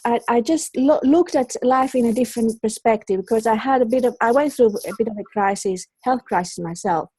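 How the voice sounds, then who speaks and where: A middle-aged woman with a Greek accent, a native Greek speaker, telling her own story as an interview guest in an expressive, wandering way with repeated words. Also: fairly high pitch, breathy edge, no room sound, rough recording. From Greece. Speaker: interview guest, colour psychologist and teacher.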